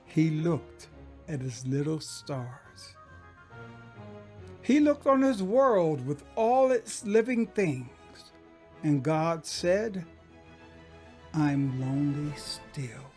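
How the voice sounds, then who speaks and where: A man's voice: big pitch swings, very slow, pauses often. Man reciting poetry with sweeping theatrical range. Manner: solemn, reverent, swelling from hushed whispers to booming declamation. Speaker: poet performing a dramatized poem. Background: music.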